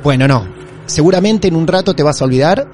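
A man's voice: on the high side, lively intonation, a little fast, a little mellow, a little hiss or hum. A man with an Argentine accent hosting a paranormal podcast, talking in a theatrical, welcoming way and reassuring his caller. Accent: Argentine Spanish. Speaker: podcast host.

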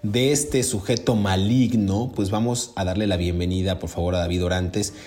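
A man hosting a true crime podcast, narrating in a dramatic, measured way and chatting with his co-host. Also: deep voice, steady tone, a little mellow.

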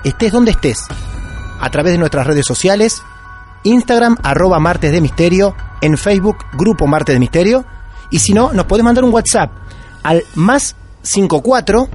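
A man with an Argentine Spanish accent and a slightly high, very animated voice hosts a podcast about real mysteries. He draws out his greetings and questions in a relaxed, chatty way, with soft music playing underneath. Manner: lively, drawn-out welcoming delivery. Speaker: podcast host. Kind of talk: casual paranormal podcast interview.

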